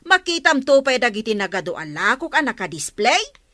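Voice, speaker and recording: A woman's voice: fairly high pitch, sing-song, normal speed, sharp tone, dry recording. A woman with an Ilocano accent playing a no-nonsense village shopkeeper in a radio comedy drama. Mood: firm, exasperated.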